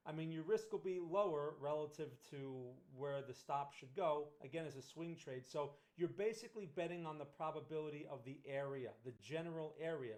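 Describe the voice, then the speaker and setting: A man's voice: fairly high pitch, darkish tone. New Jersey man talking markets. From Northern New Jersey. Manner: conversational, frequent "right?" tag questions and restarts. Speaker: trading livestream host. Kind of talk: casual livestream market analysis.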